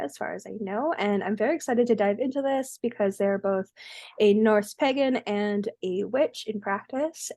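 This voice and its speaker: A woman's voice: mid-range voice, a little mellow, dry recording, studio quality. A woman hosting a podcast, asking questions casually with many fillers. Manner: friendly, filler-heavy. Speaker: podcast host. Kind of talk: casual interview.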